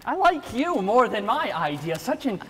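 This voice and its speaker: A woman's voice: on the high side, very animated, moderate pace. A woman playing a bewildered writer in a comic stage play, talking in a hesitant, stammering way. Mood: eager. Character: bewildered, skeptical writer turning eager and wheedling. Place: theatre stage at a play festival. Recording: echoey stage hall, clear background noise.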